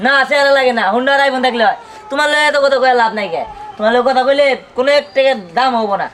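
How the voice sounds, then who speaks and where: A man acts out a lovesick, petulant young villager from a comedy drama. His voice is very high and complaining, full of melodrama. It is recorded on location with faint background noise. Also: fairly even intonation, racing, a bit bright. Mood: petulant, sulking. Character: whiny lovesick village son, melodramatically threatening suicide.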